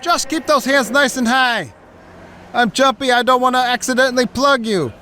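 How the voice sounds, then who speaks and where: A woman's voice: high-pitched, normal speed, pushed and bright. A woman playing a jumpy, menacing gangster in a noir audio drama, slangy and taunting.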